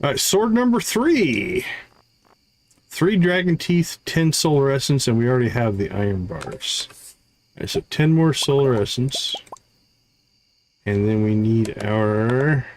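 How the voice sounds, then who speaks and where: Male gaming host, medium pitch, casual live commentary that lists and counts items, slightly noisy recording. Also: lively intonation, slow, pauses often, a little mellow. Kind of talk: casual gameplay commentary, talking through tasks.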